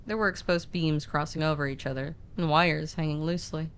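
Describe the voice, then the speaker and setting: A woman's voice: sits low, fairly even intonation, a little fast, slight background noise. A woman narrating a story conversationally, informal and adding her own comments as she goes.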